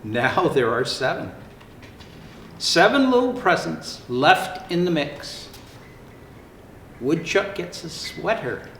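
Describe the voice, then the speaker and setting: A man playing a jolly old Santa Claus, reading picture books aloud to children in a theatrical, unhurried, expressive storytelling manner. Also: a little high, full character voice. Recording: roomy echo, faint background noise.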